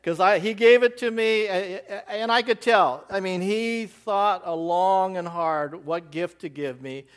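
An American missionary giving a church slide presentation, rambling with many fillers and restarts. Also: male voice, high-pitched, a little slow, clear, resonant tone. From United States.

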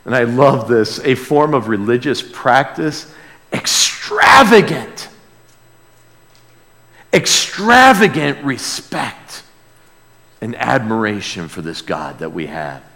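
A man's voice: mid-range voice, big pitch swings, slow pace, long pauses, pushed and bright. A man preaching a sermon, speaking emphatically with repeated key words and rhetorical questions. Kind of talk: expository sermon. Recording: hall with long reverb.

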